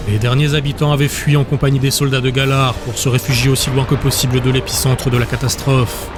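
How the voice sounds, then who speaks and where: A male narrator reads a dramatic fantasy audiobook in a level, medium-pitched voice. The scripted storytelling runs over clearly audible music. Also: flat delivery, quick pace.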